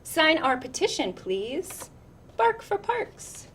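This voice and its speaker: Storybook schoolkids, played by a woman: eager, pleading, high-pitched, swooping campaign chants. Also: big pitch swings, slow, fairly bright tone, slight background noise, full character voice.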